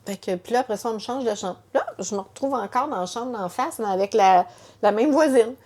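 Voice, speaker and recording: Middle-aged woman, Quebec French accent, emotional personal testimony, halting delivery with repeated words and fillers. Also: on the high side, darkish tone. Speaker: mother telling her story.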